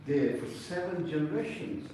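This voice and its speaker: A man's voice: fairly high pitch, animated, normal speed. Chatty man in an echoey hall. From India. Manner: chatty, self-deprecating, joking asides. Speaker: event speaker introducing the host. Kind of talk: formal introduction speech with asides. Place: large hall with audience. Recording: echoey hall, distant mic, faint noise.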